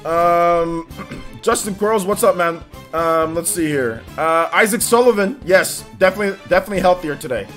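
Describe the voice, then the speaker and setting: A high-voiced man hosts a casual livestream, greeting viewers by name in quick, upbeat bursts. His nose is a little stuffy, and faint music plays under his voice. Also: animated. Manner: upbeat, rapid shout-outs, laughs often. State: slightly stuffy nose after illness. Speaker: livestream host. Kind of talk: casual livestream chat, reading out viewer names.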